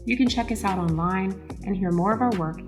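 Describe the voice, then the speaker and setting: A woman's voice: mid-range voice, brisk. A woman announcer reading a scripted outro. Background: music.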